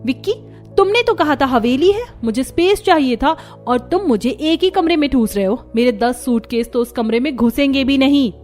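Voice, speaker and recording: A woman plays a spoiled young city wife in a cartoon story. Her voice is high and she talks in a haughty, demanding way, sprinkled with English words. Music plays behind her. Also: expressive, normal speed, a little mellow. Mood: angry, indignant. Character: spoiled, brash young modern city daughter-in-law. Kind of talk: Hindi cartoon story dialogue.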